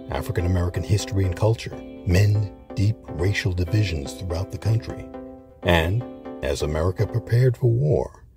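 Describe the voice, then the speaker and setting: A man hosting a history series, reading a scripted documentary narration. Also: deep voice.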